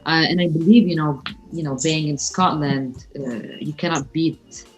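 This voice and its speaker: A woman's voice: deep voice, moderate pace, muffled recording, soft background music. A woman hosting a livestream, asking an illustrator questions over a video call in an upbeat, casual way with many fillers. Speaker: livestream host. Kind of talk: casual interview chat. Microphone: video call from home.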